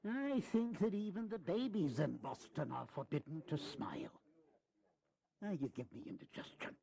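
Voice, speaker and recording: Genial old statesman, high lively pitch, slow theatrical delivery, teasing wit, historical audio drama. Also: gravelly. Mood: jovial, teasing.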